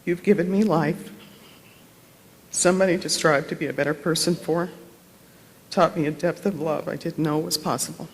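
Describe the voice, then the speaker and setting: A man's voice: a little high, steady tone, normal speed, long pauses. A man reading a written tribute aloud at a memorial service in a reverberant hall. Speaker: tribute reader.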